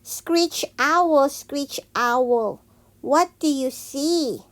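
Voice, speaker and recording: Lady teacher reading a kids picture book out loud, high pitched, super slow n sing-songy. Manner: slow, deliberate, sing-song repetition. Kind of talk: read-aloud of children's picture books.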